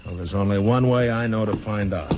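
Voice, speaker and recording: Low male voice, actor playing stern American western lawman, commanding, clipped, muffled vintage radio drama, heavy noise. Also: fairly quick, lightly acted. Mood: thoughtful. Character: terse, hard-nosed middle-aged frontier marshal. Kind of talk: 1950s radio western acting. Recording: muffled, noisy 1950s radio recording.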